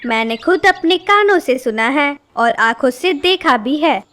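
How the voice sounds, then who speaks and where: A woman voicing a scheming, bossy auntie bird with a shrill, scolding manner in a Hindi cartoon. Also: lively intonation, unhurried, a bit bright, slight background noise. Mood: accusing.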